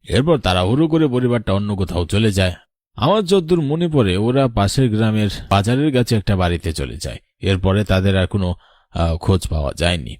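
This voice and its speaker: A man voicing a grave, knowing older village man in a horror cartoon, solemn and measured like a storyteller. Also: lowish voice, animated, darkish tone, dry recording, studio quality, lightly acted.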